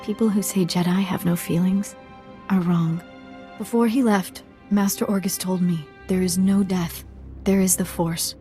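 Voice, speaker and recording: An actress playing a dignified village matriarch in a video game, earnest, measured and formal. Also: female voice, on the low side, fairly even intonation, dark tone, faint music behind, lightly acted. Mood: solemn grief. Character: solemn, dignified village matriarch, grieving yet resolute. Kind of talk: video game drama acting.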